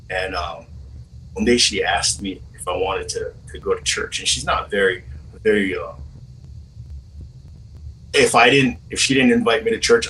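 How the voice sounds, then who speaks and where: A man's voice: on the low side, sharp tone, far from the mic, slight background noise, rough recording. Laid-back man in his forties, from Hawaii. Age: 40s. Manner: halting, many restarts and fillers, laid-back slang. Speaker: guest telling his conversion story. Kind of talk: casual storytelling.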